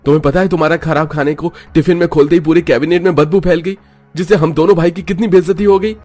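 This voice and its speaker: Guy doing fed up cartoon husband, highish voice, complaining, bit of music behind. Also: steady tone, quick pace, a little mellow, close to an everyday voice. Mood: angry. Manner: complaining, accusatory. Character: annoyed husband fed up with bad food. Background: background music.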